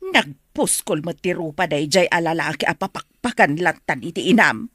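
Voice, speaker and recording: Blunt, sharp-toned grandmother. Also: fairly low pitch, animated, moderate pace, no echo. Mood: suspicious, indignant. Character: blunt, disapproving grandmother watching over her granddaughter. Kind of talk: Ilocano radio drama acting.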